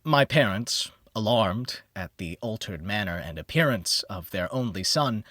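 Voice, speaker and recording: A man narrating a gothic horror story in the first person, with a measured, theatrical and formal literary delivery. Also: on the low side, expressive, fairly bright tone, dry recording, studio quality. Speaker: audiobook narrator.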